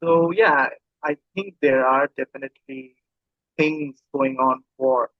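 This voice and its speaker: A man's voice: mid-range voice, fairly even intonation, a little slow, dry recording, muffled recording. A man from Bangladesh with a Bangladeshi accent, a native Bengali speaker, talking casually as an interview guest and often repeating himself hesitantly.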